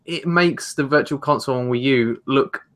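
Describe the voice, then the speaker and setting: Bright-voiced young British man. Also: medium pitch, moderate pace. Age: 20s. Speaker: podcast co-host. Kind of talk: casual gaming chat. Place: home room, indoors. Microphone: headset on, video call.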